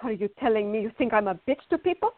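A woman's voice: a little high, lively intonation, fast talker. An actress playing a fiery, indignant young woman in a radio drama, arguing and questioning. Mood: angry, jealous. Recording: tinny, band-limited, echoey room.

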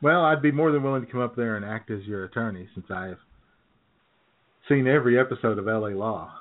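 A man's voice: mid-range voice, brisk. A man co-hosting a radio comedy show in casual banter, jokey and rambling. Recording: narrow phone-like radio audio.

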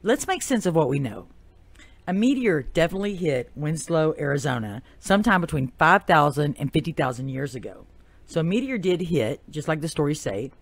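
A host with a noticeable Texas accent reads a prepared narration at a middling pitch, in a steady storyteller's manner. The recording is close and dry, with no room sound at all. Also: brisk, no room sound. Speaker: podcast host. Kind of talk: reading prepared narration on a mystery topic. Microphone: new desktop microphone.

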